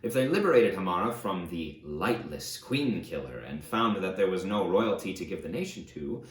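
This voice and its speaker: Guy reading as a proud warlord ruler, deep, dramatic, justifying himself, audiobook style, bit echoey. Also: expressive, moderate pace. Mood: defiant, grim. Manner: declamatory, self-justifying. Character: proud, defiant conqueror ruler recounting his rise. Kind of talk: dramatic first-person audiobook narration. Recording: roomy, echoey sound.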